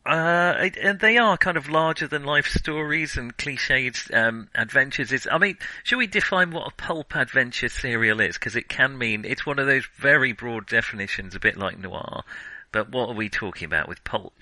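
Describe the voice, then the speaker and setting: A man with a very bright, forward voice at a middling pitch, recorded close and dry. He is a podcast co-host chatting about films, tentative and discursive, often qualifying what he says. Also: lively intonation, moderate pace, dry recording, poor audio. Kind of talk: casual film discussion.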